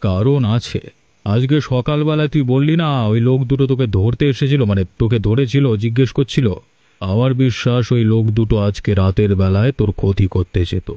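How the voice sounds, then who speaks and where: Undercover magistrate for a village cartoon, male actor: soft mellow tone, protective and firm. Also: medium pitch, moderate pace, dry recording, highs cut off, natural voice. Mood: earnest, explaining. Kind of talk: Bengali cartoon story dubbing.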